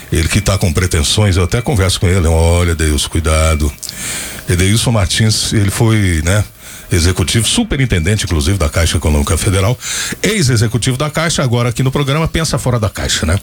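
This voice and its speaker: A man's voice: sits low, animated, brisk, husky. A man in his fifties hosting a radio show, chatting casually and rambling off into stories and asides. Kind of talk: casual on-air chat and storytelling.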